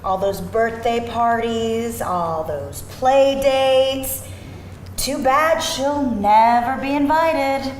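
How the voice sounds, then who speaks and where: A woman's voice: fairly high pitch, extremely slow. A woman playing a domineering, scheming soccer mom with a curt, commanding, menacing manner at a screenplay table read. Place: live event room before an audience. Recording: roomy live reading with faint noise.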